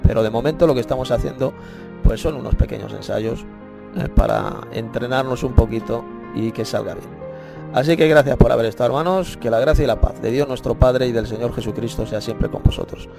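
A man with a Spain Spanish accent hosting an online Bible chat room, talking in an unhurried way, often repeating his phrases and calling listeners brothers. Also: mid-range voice, vocal fry, over music. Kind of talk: casual preaching and closing remarks.

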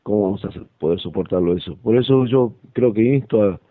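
A man talking reflectively about faith in a halting, searching way over a thin phone-like connection. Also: on the low side, no echo. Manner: hesitant, halting. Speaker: participant in a religious discussion. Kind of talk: reflective religious talk.

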